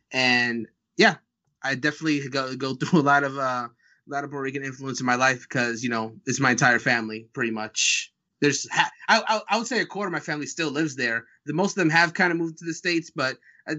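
A man's voice: mid-range voice, sharp tone. A man who is a podcast guest, rambling casually with lots of fillers. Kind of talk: casual chat. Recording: dull lo-fi sound.

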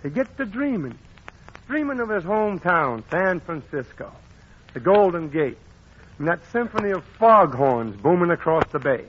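A man with a high, bouncy voice narrates a story in a chummy, folksy way on a 1940s radio show. His pitch leaps around as he talks. The sound is dull, with a faint hiss behind him. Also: sing-song, moderate pace. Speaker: radio announcer.

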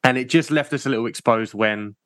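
A man's voice: medium pitch, a little fast, fairly bright tone, no room sound, clean studio sound. A man co-hosting a football podcast, thinking aloud in a hesitant way with many fillers. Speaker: podcast co-host. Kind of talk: casual football chat.

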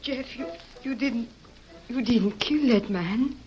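High-voiced, tender sweetheart. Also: female voice, slow, a little mellow, breathy edge, soft background music, heavily acted. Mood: anxious. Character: Conchita, tender anxious young Mexican sweetheart. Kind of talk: old-time radio western acting. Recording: muffled old radio recording with hiss.

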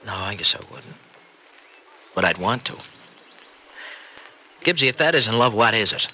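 An actor in an old-time radio drama playing a troubled, restless married farmer with a wayward past, speaking colloquially in halting, earnest confessions. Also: male voice, on the low side, expressive, bright, forceful tone, full character voice, rough recording. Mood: earnest, torn. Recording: vintage radio recording, faint noise.

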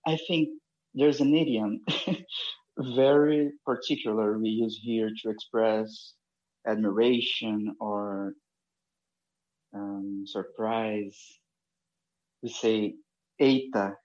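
A man's voice: on the low side, fairly even intonation, takes it slowly, long pauses, a little mellow, muffled recording. A man with a Brazilian accent from Rio de Janeiro, a native Portuguese speaker, speaking as a podcast guest in a polite, careful way.

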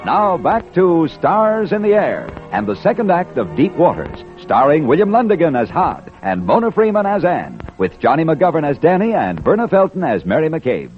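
Animated male vintage radio announcer. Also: a little high, moderate pace, hardly any pauses, over music. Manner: formal, polished. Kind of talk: 1940s radio announcing, scripted. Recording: dull vintage radio broadcast recording.

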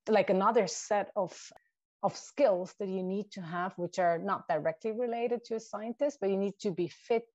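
A woman's voice: mid-range voice, dark tone, no echo. A woman from Switzerland whose first language is Swiss German, a shark scientist answering interview questions thoughtfully, listing points with small restarts. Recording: dull lo-fi dry sound.

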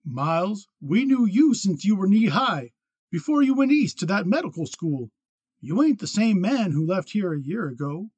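A man's voice: high voice, lively intonation, moderate pace, breathy edge, dry recording, voice pushed up. A man voicing a mild, uneasy small rancher in a western audiobook, folksy and pleading.

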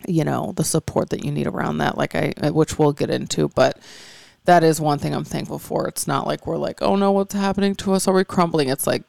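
A woman co-hosting a podcast, candid and earnest, a little raspy and stuffy from allergies. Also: sits low, steady tone, a little fast, a little mellow, dry recording, clean studio sound. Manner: candid, earnest, rambling with fillers. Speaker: podcast co-host. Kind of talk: casual chat.

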